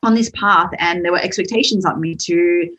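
Young aussie woman on a podcast, bit husky, chatty, laughs a lot. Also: lowish voice, fairly bright tone, muffled recording. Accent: Australian. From Australia. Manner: chatty, laughs often, quick asides. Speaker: guest. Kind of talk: podcast interview.

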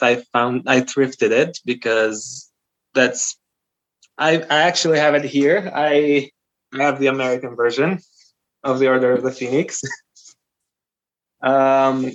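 A man in his twenties from North Macedonia whose first language is Albanian, telling a story as a podcast guest with many fillers and restarts. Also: medium pitch. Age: 20s. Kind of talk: casual interview. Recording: muffled lo-fi audio.

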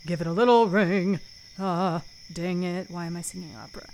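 A woman's voice: on the low side, steady tone, moderate pace, darkish tone, slight background noise. A woman hosting a podcast, talking casually and thinking aloud with an informal, self-mocking manner. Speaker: host and author of a fiction podcast. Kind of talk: casual podcast talk and recording outtakes.

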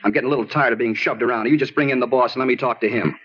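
An actor playing a moody American murder suspect, insistent and naively self-justifying, in a 1950s radio drama style. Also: male voice, medium pitch, very fast, a bit bright, breathy edge. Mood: irritated, defensive. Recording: muffled noisy old radio recording.

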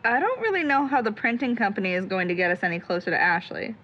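Bright, prickly young sleuth played by a woman. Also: mid-range voice, fast, dull audio, slight background noise, close to an everyday voice. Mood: resigned. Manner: hesitant, dry, sarcastic. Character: anxious, prickly young female amateur sleuth. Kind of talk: naturalistic audio drama acting.

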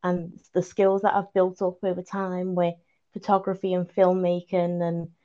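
A young woman from Liverpool with a Liverpool accent, chatting casually as a podcast guest, self-deprecating and quick to laugh. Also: fairly low pitch, completely flat delivery, mellow, lo-fi sound. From Liverpool, England. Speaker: podcast guest, video journalist. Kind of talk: casual chat.

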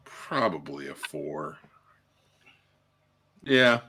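A man's voice: rumbling low, very animated, extremely slow, bright, forceful tone, husky. A middle-aged man chatting casually about films on a podcast, ranting in exasperation and swearing freely. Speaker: film podcast panelist. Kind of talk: casual profane film chat.

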